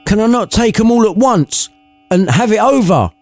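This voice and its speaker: A man's voice: expressive, moderate pace, a little mellow, faint music behind. A man voicing a stubborn old Victorian miser in a story, querulous and pleading. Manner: high-pitched pleading. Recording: long reverberant hall echo.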